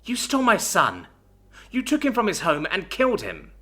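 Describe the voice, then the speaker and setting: A man's voice: high-pitched, huge pitch swings, moderate pace, a bit bright, slightly rough, pitched above the performer's own voice, heavily acted. A man doing a shrieking ghostly mother. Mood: wailing rage. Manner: wailing, shrieking. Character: grieving mother's wailing spirit.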